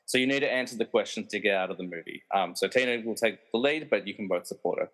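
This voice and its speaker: A man's voice: on the low side, quick pace, a bit bright, low-quality recording. A man narrating a comic podcast radio play and hosting its quiz with a tongue-in-cheek manner. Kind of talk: scripted comic narration with casual quiz banter.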